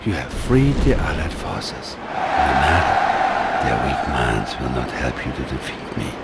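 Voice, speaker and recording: An actor playing a sinister Soviet psychic villain in a game, with a Russian accent and a slow, gravelly, menacing delivery. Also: male voice, rumbling low, big pitch swings, exaggerated voice. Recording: very noisy game cutscene audio.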